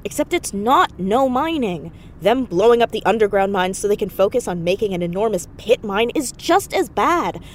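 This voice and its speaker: A woman's voice: mid-range voice, lively intonation, normal speed, hardly any pauses, slight background noise, natural voice. A woman playing a stubborn, inquisitive teenage girl sleuth in an audio drama, earnest and probing in a casual, argumentative way.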